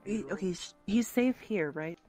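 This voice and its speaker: A woman's voice: mid-range voice, normal speed, a little mellow, lightly acted. Lady playing a bossy worried mom type in online rp, restarts a lot, faint music behind. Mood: earnest, worried. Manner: hesitant restarts, firm scolding. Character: protective, motherly woman, bossy and anxious about a child. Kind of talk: improvised online roleplay.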